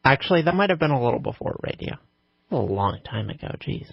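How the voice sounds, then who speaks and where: A man co-hosting a casual chat show, answering in a dry, measured way. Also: mid-range voice, sing-song, gravelly, husky, no room sound, lo-fi sound. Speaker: co-host.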